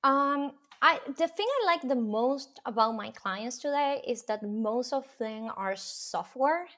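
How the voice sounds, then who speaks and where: A woman with a Venezuelan Spanish accent talks in English on a podcast, her first language being Spanish. Her voice sits a little high, and she gathers her thoughts as she goes, pausing on ums and restarting sentences. The tone stays relaxed and conversational. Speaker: podcast guest. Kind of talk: casual interview chat.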